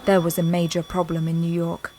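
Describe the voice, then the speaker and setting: Lower-voiced female audio drama narrator. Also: monotone, fairly quick, very noisy.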